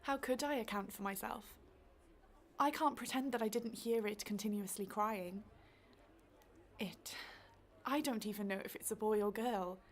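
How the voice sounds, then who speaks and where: An actress playing a well-meaning, anxious middle-aged neighbour, chatty and self-questioning, in a radio play monologue. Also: female voice, mid-range voice, moderate pace, lightly acted. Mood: anxious, guilty.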